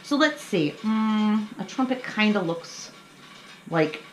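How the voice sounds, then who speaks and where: A woman's voice: mid-range voice, animated, slow. A middle-aged woman hosting a children's craft lesson, explaining things simply and encouragingly to young kids. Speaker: children's story time host. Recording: echoey room with faint background noise.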